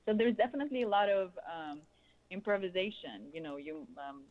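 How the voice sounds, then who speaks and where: A woman in her thirties with a Russian accent, answering interview questions in loose, flowing, expressive phrases peppered with "you know". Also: medium pitch, brisk, low-quality recording. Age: 30s. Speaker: guest, sound healer and musician.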